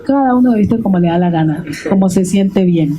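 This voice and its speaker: A woman's voice: medium pitch, animated, brisk. A woman commenting emphatically in a panel discussion. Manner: emphatic, approving. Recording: dull sound with clear noise.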